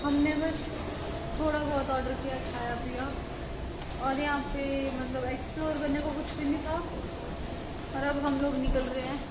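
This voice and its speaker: A high-voiced young woman chats and teases her friend as she films on her phone. She talks straight to her viewers from a busy food court. Loud noise from the crowd and the traffic runs under everything. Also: flat delivery, moderate pace. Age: young adult. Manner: chatty and teasing, addressing viewers. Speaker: vlogger. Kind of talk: casual vlog chat with a friend. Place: busy mall food court and street at night. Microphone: phone held at arm's length.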